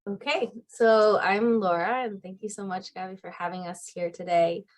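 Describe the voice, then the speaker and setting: A woman's voice: mid-range voice, steady tone, normal speed. A woman in her thirties from Argentina, talking as a guest on a panel, reflective and full of fillers and restarts. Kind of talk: casual panel talk.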